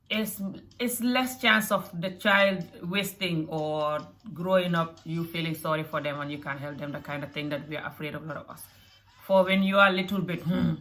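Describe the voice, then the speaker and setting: Low-voiced woman with African-Scottish accent. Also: sharp tone. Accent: African and Scottish mixed. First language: not English. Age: adult mother. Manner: earnest, repeats phrases, emphatic. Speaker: vlogger. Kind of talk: motivational talk to camera.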